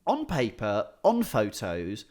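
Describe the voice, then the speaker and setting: A man's voice: on the low side, wildly swooping pitch, slow pace, a little mellow. British man telling anecdotes, chuckling as he goes. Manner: chuckles often while talking, swears casually. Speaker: podcast co-host, stand-up comedian. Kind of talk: casual comedic chat, telling anecdotes.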